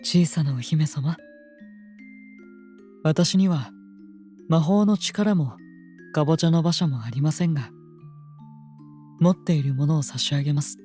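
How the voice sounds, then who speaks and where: A woman playing a composed, devoted royal attendant in an audio drama, speaking politely and formally with gentle admonishment. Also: deep voice, faint music behind. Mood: soothing. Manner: polite, measured, formal speech. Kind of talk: scripted audio drama acting.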